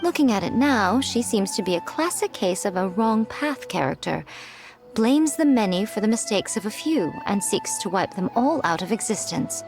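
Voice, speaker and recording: A woman's voice: medium pitch, expressive, moderate pace, natural voice. A woman voicing a poised, playful and calculating female mentor in a video game, speaking in a composed, formal, teasing way. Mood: knowing. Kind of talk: English video game voice acting. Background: music.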